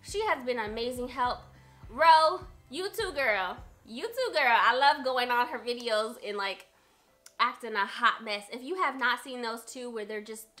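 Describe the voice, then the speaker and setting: A woman's voice: fairly high pitch, fairly bright tone. A woman in her late twenties talking casually to her camera about her budget, earnest and repeating points for emphasis. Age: 20s. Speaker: budgeting vlogger.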